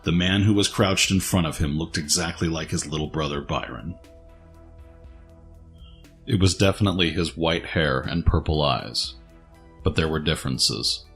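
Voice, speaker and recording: A man's voice: very deep voice, moderate pace, pauses often, a bit bright, soft background music. A man narrating a scripted dramatic story as the narrator of an audio drama.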